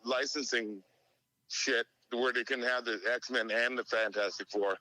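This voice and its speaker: A man with a bright, sharp voice and very little echo calls in over speakerphone. He gives casual opinions, stumbling and repeating words, with some swearing mixed in. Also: medium pitch, fairly even intonation, unhurried. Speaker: podcast call-in guest. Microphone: phone call played on speakerphone.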